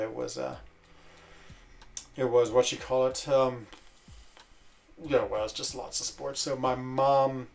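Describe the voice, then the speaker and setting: A man who grew up in Switzerland, chatting casually and rambling while he plays a video game, breaking off into quick exclamations. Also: medium pitch, gravelly. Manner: rambling, self-interrupting chatter with fillers and sudden exclamations. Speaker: gaming streamer.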